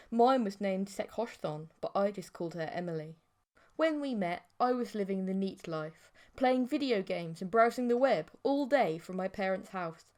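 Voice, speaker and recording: A woman reading a scripted story as an audiobook narrator, in a measured, theatrical way. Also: medium pitch, darkish tone.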